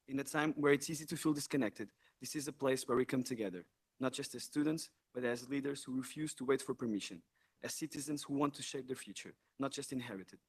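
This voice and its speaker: A young man from Portugal, the incoming president of a student network, giving an earnest, measured formal speech in a large hall. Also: mid-range voice, breathy edge. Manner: earnest, measured, occasional self-corrections.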